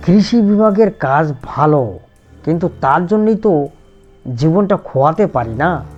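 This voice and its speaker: Man playing haunted city clerk, slightly high voice, slow suspenseful delivery, cartoon horror narration, music underneath. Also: darkish tone. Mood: resolved. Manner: suspenseful, deliberate storytelling. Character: shaken city-bred male government worker recounting a ghostly encounter. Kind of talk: first-person horror story narration, dramatic scripted. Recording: dull lo-fi audio, music behind.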